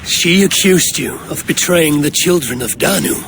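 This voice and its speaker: A man's voice: a little high, moderate pace, a bit bright, a little hiss or hum. A man playing a stoic, blunt Viking warrior in a video game cutscene, speaking tersely and directly. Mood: questioning.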